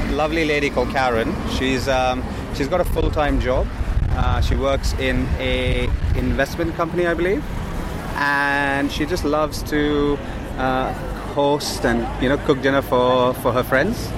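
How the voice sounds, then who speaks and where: Chatty man, street traffic behind. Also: mid-range voice. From India. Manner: relaxed, chatty, many fillers and restarts. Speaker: food startup co-founder. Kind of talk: casual walking interview. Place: outdoors on a city street. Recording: outdoor street recording with traffic. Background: traffic noise.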